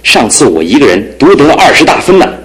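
A man's voice: medium pitch, big pitch swings, brisk. An actor voicing a good-natured, joking young man who is a bit pampered, in a studio radio drama. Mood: lighthearted. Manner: jokey and warm-hearted, earnest when serious. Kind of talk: 1960s-70s studio radio drama acting.

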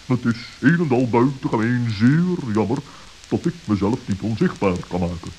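A man voicing a pompous, suspicious, scholarly owl with a wordy, deliberate manner in a children's radio play. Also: mid-range voice, lively intonation, darkish tone, close to an everyday voice. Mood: regretful, pondering. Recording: hissy, crackly old audio recording.

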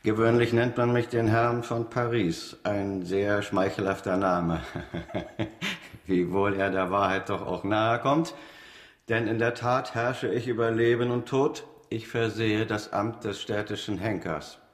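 A man playing a proud, matter-of-fact executioner in a radio play, speaking in a measured, formal way that savours grisly detail. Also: lowish voice, fairly even intonation, lightly acted. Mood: smug pride. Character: proud hereditary executioner of old Paris, smugly matter-of-fact. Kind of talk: German radio play acting. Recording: echoey stone-room radio drama sound.

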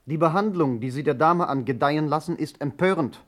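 An actor playing a pompous, easily offended German nationalist in a radio play, with a stiff, declamatory way of speaking. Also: male voice, a little high, a little fast, close to an everyday voice. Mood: indignant.

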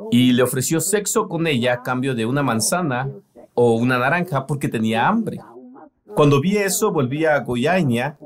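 A man preaching a sermon in an earnest, emphatic way, voicing it as a translation. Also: on the high side.

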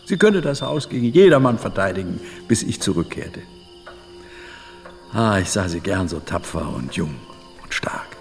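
A man's voice: sits low, expressive, gravelly, long reverb tail, faint music behind. An actor playing an older, reflective adventurer and butterfly collector in a radio drama, musing and telling his tale dramatically. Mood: recounting, then wistful. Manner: musing, dramatic storytelling with pauses and interjections. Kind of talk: radio drama monologue, storytelling.

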